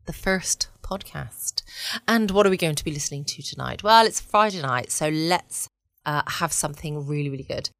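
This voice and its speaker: A woman's voice: low voice, normal speed, fairly bright tone, no room sound, clean studio sound. A woman with an English accent hosting a late-night bedtime podcast in a cosy, chatty way with playful asides. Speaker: podcast host. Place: at home, close and dry-sounding room.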